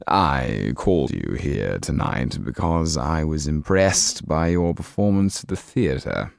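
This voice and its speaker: A man voicing a sinister, cold aristocratic count who speaks slowly and with contempt. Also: extremely low pitch, expressive, creaky, no echo, clean studio sound, heavily acted. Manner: slow, icy, contemptuous.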